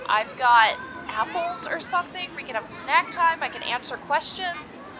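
Female tabletop player, higher voice, animated, casual improv, noisy crowd backdrop. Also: normal speed.

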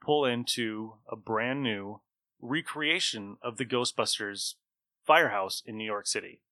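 Bright-voiced man running a tabletop game. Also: on the low side, unhurried, no room sound. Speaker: game master and host. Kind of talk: tabletop game narration with casual table chat.

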